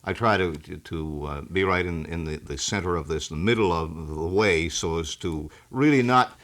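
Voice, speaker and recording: A middle-aged man with a low, deep voice talks casually in a radio studio. He is measured and reflective, often circling a phrase before he settles on it, like an experienced talk show host being interviewed. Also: a bit bright. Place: small radio station studio.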